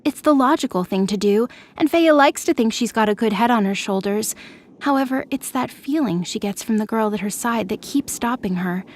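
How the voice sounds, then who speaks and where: A woman's voice: fairly high pitch, lively intonation, normal speed, a little mellow. A woman narrator reading scripted, dramatic fiction for an audio drama.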